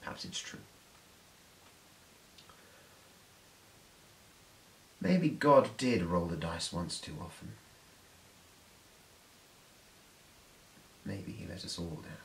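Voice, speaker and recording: A man's voice: sits low, big pitch swings, normal speed, vocal fry, fairly bright tone, pitched below the performer's own voice, exaggerated voice, poor audio. Man acting sardonic, menacing devil, hissy recording. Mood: quietly bitter. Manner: dramatic, swinging from whispers to raging outbursts. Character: sardonic, menacing male devil preaching with contempt for humanity. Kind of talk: theatrical film monologue. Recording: noticeable background hiss.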